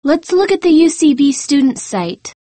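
Audiobook role: eager young female amateur detective, acted by a woman, very high and animated, emphatic delivery, close dry sound. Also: normal speed, no room sound. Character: excited young women friends, eager amateur sleuths. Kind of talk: acted dialogue in audiobook story.

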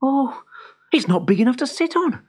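A storyteller voicing a young, bumbling boy wizard in a children's story, earnest, excitable and anxious. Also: high-pitched, normal speed, husky, voice pushed up, exaggerated voice. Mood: dismayed. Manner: hesitant, breathless, excitable.

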